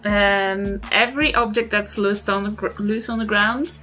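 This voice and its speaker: A woman's voice: mid-range voice, fairly even intonation, normal speed, pushed and bright. A woman with a New Zealand accent chatting as a player in a tabletop game, in a hesitant, giggly manner. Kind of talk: casual game chat. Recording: music bed behind voice.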